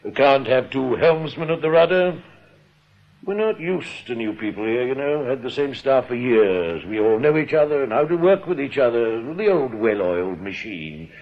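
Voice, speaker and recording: An actor playing a jovial, chatty small-town bank manager with a hearty but hedging manner in a British radio drama. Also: male voice, medium pitch, animated, normal speed, lightly acted. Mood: affable probing. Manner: hearty, chuckling, hesitant when probing. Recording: dull, band-limited radio recording.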